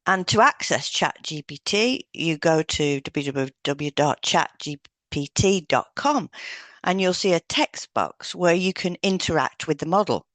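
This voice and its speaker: A woman's voice: sits low, expressive, a little slow, fairly bright tone, no room sound. A woman co-hosting a podcast, reading explanatory material in an instructional way with informal asides. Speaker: podcast co-host, hypnotherapist.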